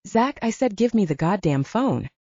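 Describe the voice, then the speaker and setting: A woman's voice: medium pitch, very animated, normal speed, warm tone, slightly rough, no room sound. A woman voicing a tough but distressed young female soldier in an audiobook, demanding and emotional, cursing as she talks. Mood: stern, demanding. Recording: dull lo-fi audio, dry.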